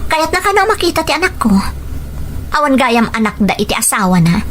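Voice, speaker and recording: A woman's voice: high voice, very animated, a little fast, fairly bright tone, a little hiss or hum. A woman with an Ilocano accent acting a hurt, defiant mother guarding her child in an audio drama. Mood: resolute. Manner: emotional, indignant. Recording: music bed under the voice.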